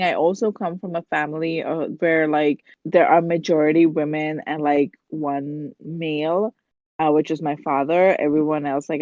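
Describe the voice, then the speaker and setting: A woman's voice: sits low, dark tone, no echo. A young woman from India, a podcast guest, talking casually with lots of "like" and "um" and laughing easily. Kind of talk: casual chat. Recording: dull lo-fi dry sound.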